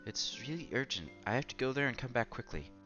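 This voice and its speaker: A man's voice: medium pitch, lively intonation, quick pace, pushed and bright, muffled recording, faint music behind. A man doing a casual let's-play, reading a visual novel aloud with asides and stumbling over words as he goes.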